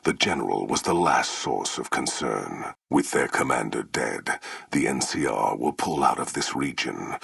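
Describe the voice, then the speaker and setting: Very deep, gravelly brutal warlord. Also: male voice, a bit bright, dry recording, studio quality, heavily acted. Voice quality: gravelly, rasping. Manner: grandiose, solemn, archaic formal speech. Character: towering brutal warlord, proud, menacing legion commander. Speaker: video game villain. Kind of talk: theatrical video game villain acting.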